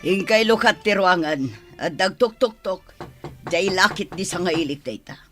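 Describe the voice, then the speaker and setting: A woman's voice: lowish voice, animated, measured pace, music in the background. A woman with an Ilocano accent playing a scheming, disapproving middle-aged mother-in-law in a radio drama. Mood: plotting.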